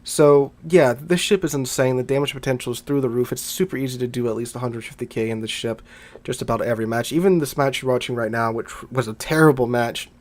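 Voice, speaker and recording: A congested man with a Southern American accent talks through a gaming video in an upbeat, conversational way. His voice is stuffed up from a cold and sits at an everyday pitch. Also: steady tone, quick pace, darkish tone. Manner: enthusiastic, conversational. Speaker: gaming YouTuber. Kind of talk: casual video commentary.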